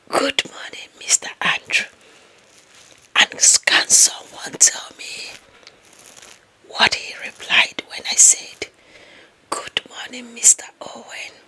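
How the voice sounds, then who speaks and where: A breathy, very slow whisper with a sharp, bright edge carries this lovestruck young office gossip as a woman acts her out. She has an African accent. She is bossy, dramatic and deluded, in the manner of an ASMR roleplay. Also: a little high, full character voice. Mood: indignant, insistent.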